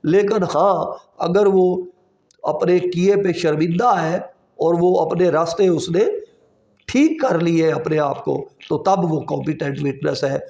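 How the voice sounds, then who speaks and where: A man's voice: on the high side, darkish tone. A man with a Pakistani accent giving an explanatory law lecture in a didactic way, repeating points, posing rhetorical questions and switching into English for quotations. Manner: switches between Urdu and slow English quotations. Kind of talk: explanatory exam-prep lecture. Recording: echoey, reverberant room.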